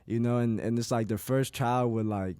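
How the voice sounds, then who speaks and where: Dark-voiced man, casual podcast talk. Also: medium pitch, steady tone, normal speed, clean tone, no echo, studio quality. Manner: reflective, heavy on "like" and "you know". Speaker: podcast co-host.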